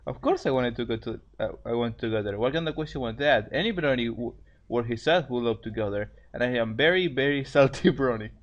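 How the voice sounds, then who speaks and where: A man's voice: medium pitch, lively intonation, fairly quick, highs cut off. A man narrating fan fiction in an amateur, casual way, often stumbling over phrases and repeating them. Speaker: story narrator, co-host.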